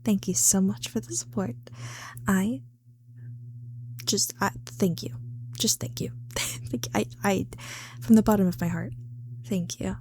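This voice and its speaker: A woman ASMR creator in a casual, unscripted chat, flustered and self-deprecating, often dropping into soft, breathy tones. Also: mid-range voice, fairly even intonation, unhurried, long pauses.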